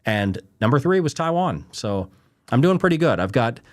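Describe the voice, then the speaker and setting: A man's voice: medium pitch, big pitch swings, moderate pace. A man from Canada hosting a trading show, talking casually and emphatically and often asking "right?" and "you know". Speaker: trading show host answering viewer questions. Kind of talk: casual unscripted explainer.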